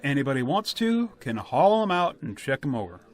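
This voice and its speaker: A man's voice: mid-range voice, big pitch swings, fairly quick, lightly acted. A man playing a practical, plainspoken village official in a radio play, folksy and orderly. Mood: businesslike.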